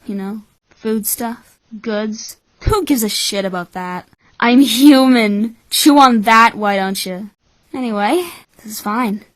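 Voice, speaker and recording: A woman's voice: a little high, no echo. Cynical, calculating boy, voiced by a woman, dry sound. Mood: bitter, defiant. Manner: measured, blunt, explanatory. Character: cynical, calculating twelve-year-old orphan boy, coolly bitter. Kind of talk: anime-style fan dub acting.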